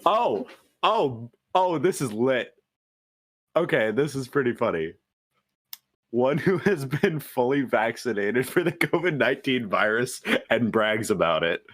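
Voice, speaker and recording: Slow, husky, swooping man player. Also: mid-range voice, big pitch swings, fairly bright tone. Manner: giggly, teasing. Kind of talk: casual tabletop game banter.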